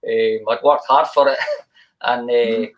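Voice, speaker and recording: A man's voice: medium pitch, steady tone, slow pace, lo-fi sound. A Scottish man, a guest musician, telling his story in a rambling, hesitant way with plenty of ums and restarts. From Scotland. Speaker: guest accordion player. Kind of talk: casual interview storytelling.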